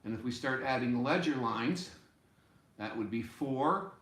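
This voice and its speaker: A man in his sixties giving an informal music lesson, explaining deliberately and step by step in a small room. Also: mid-range voice, animated, a bit bright. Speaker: music teacher. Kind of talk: informal instructional explanation at a whiteboard. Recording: roomy, echoey home room sound.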